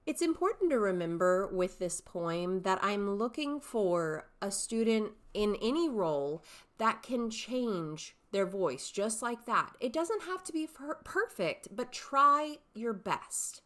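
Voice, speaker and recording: A woman drama teacher giving instructions and narrating, with deliberate emphasis. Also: mid-range voice, big pitch swings, fairly bright tone. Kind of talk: instructional talk and poem narration.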